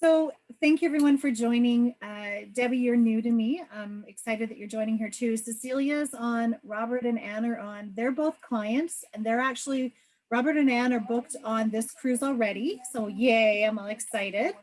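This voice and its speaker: A woman from Canada hosts a group video call as a travel agent, her voice pitched a little high. She talks in an upbeat, chatty way, with plenty of fillers. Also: normal speed, a little mellow, low-quality recording.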